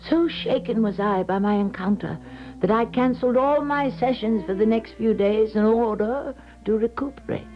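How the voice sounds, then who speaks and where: A woman's voice: fairly high pitch, moderate pace, soft background music, close to an everyday voice. An actress playing an intense clairvoyant who tells her tale formally and theatrically in a 1970s radio drama. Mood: grave, reflective. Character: Madam Sonia, intense female clairvoyant telling her own tale. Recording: dull 1970s radio broadcast recording.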